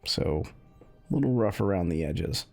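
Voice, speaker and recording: A man's voice: deep voice, animated, moderate pace, gravelly, darkish tone. A man giving casual, unscripted commentary as a game reviewer, explaining things in a meandering, hesitant way. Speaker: video game reviewer running benchmarks. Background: music under the voice.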